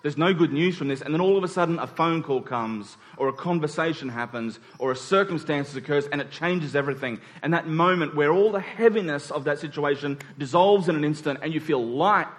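A man's voice: fairly high pitch, brisk, a bit bright. A man preaching a conversational sermon, repeating key phrases for emphasis and checking in with his listeners through short rhetorical questions. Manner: rhetorical check-ins with the congregation.

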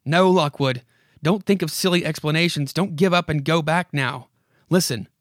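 A man's voice: a little high, normal speed, dry recording, studio quality, voice pushed up, lightly acted. A man voicing an insistent haunted house from a horror comic, pleading and commanding in a dramatic way. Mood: urgent, commanding. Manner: dramatic, commanding second-person address with repeated imperatives. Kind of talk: horror comic read aloud.

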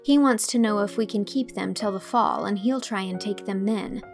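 A woman's voice: on the high side, moderate pace, faint music behind, lightly acted. A woman voicing an eager, earnest young woman.

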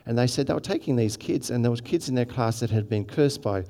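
A man's voice: medium pitch, fast, dark tone, long reverb tail. A man with an Australian accent preaching a sermon, chatty with many restarts and asides to the congregation. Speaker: pastor.

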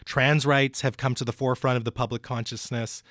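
A man's voice: medium pitch, level tone, fairly quick, fairly bright tone, no echo. Friendly male podcast host, dry sound. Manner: friendly, with many fillers and affirmations. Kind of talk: casual interview.

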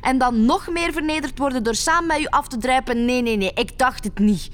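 A woman's voice: sits high, a little fast, close to an everyday voice. A woman playing a proud, easily offended young upper-class passenger in an audio drama, with a Flemish accent and a prim, assertive manner. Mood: indignant.

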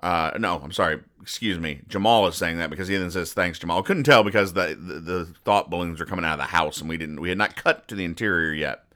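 Male podcast host, forceful bright tone, clean resonant voice, casual commentary, rambling, deadpan mock-serious explaining. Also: sits low, fast talker, few pauses. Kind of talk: casual comic-strip commentary, reading out and explaining jokes.

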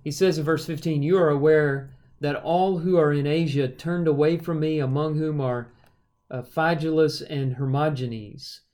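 A man teaching the Bible in a conversational, measured way, moving between explanation and reading verses aloud. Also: on the high side, fairly even intonation, darkish tone. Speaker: Bible study podcast host and teacher.

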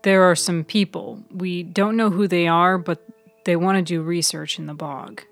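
A woman voicing a calm, attentive man who asks measured, probing questions in a story podcast. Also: lowish voice, gravelly, soft background music. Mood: cautious. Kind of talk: serial fiction audio storytelling.

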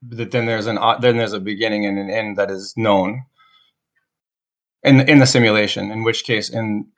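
A man with a lowish voice works through an abstract argument. He stops, restarts and hunts for the right word as he goes. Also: fairly even intonation, a bit bright. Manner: philosophical, halting, searching for words. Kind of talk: casual discussion.

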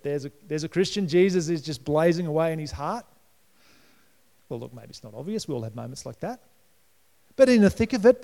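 A middle-aged Australian man preaching in a chatty, conversational way, with a gravelly edge to his voice. His pitch sits a little high for a man, and he now and then jumps up louder and higher. The church hall around him leaves a long reverb on every phrase. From New South Wales, Australia. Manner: conversational preaching with sudden lifts in pitch and volume. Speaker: pastor. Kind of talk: sermon.

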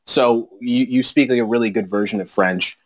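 A man's voice: mid-range voice, moderate pace, dry recording. A man hosting a podcast, asking questions in a casual, conversational interview style.

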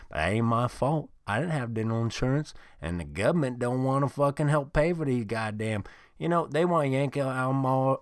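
A man voicing a crude, boastful, middle-aged couch football fan who rants loudly and swears a lot on a podcast. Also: medium pitch, smooth, dry recording, studio quality, lightly acted. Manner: loud, swaggering, profane ranting. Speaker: podcast host in character. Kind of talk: improvised comedy character monologue.

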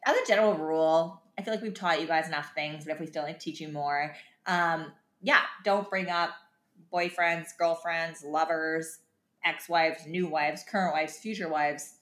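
A young woman with a deeper, husky voice, talking bluntly in a casual podcast chat and laughing often. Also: fairly even intonation, normal speed, a bit bright. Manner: blunt, laughs often, uses mild profanity. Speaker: podcast co-host. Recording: echoey room.

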